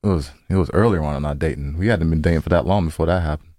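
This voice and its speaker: A man's voice: a bass voice, very fast, dark tone, no room sound, studio quality. A man co-hosting a podcast in casual, teasing banter, often repeating himself. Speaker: podcast co-host.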